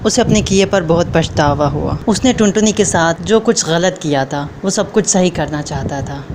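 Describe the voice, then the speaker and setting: A woman telling a children's story in a steady, even narration. Her voice is on the low side, with a faint hiss in the background. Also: normal speed.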